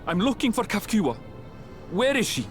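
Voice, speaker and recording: An earnest, emotional young game hero, played by a man, with a high voice that moves around expressively. He sounds urgent and anxious, and music plays clearly underneath. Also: sing-song, normal speed. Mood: anxious, disbelieving. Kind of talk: video game dialogue read aloud.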